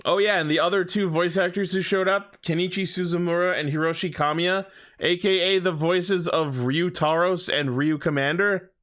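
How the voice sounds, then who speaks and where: A man's voice: sits high, steady tone, normal speed, a bit bright. A man co-hosting a podcast, talking casually and informally about a TV show with some swearing. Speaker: podcast co-host. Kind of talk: casual fan discussion of a TV show.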